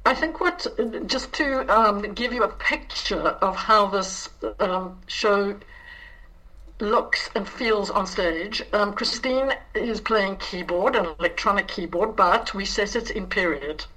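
A woman interview guest speaks in a bright, forward voice. Her pace is slow, with halting stretches as she carefully searches for the right words. The style is conversational and explanatory. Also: medium pitch, poor audio. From South Africa. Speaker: interview guest, director and scriptwriter. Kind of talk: conversational interview, describing a production.

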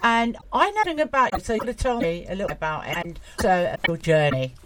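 A woman's voice: lowish voice, expressive, fast talker. A woman hosting an interview show, chatty and welcoming, asking her guests to talk. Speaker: radio show host. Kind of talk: casual interview. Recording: distant mic with clear noise.

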